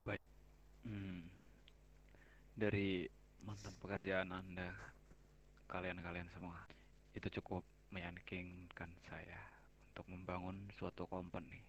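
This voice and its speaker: A man speaking formally in character in a roleplay game, slow and halting, sometimes sinking to a near whisper. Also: low-pitched, monotone, a little hiss or hum, poor audio. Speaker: roleplay company founder. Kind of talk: in-character roleplay speech over voice chat.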